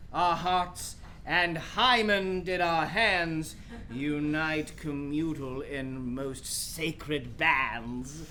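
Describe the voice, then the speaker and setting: A man's voice: high voice, bright, forceful tone, slight background noise, exaggerated voice. A man playing a weary, devoted old king declaiming rhymed verse in a theatrical radio play. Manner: grand, theatrical, deliberate.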